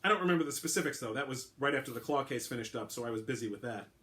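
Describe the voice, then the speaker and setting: A man's voice: mid-range voice, expressive, racing, fairly bright tone, close to an everyday voice. A man voicing a weary, blunt woman police detective with a dry, sarcastic, clipped manner.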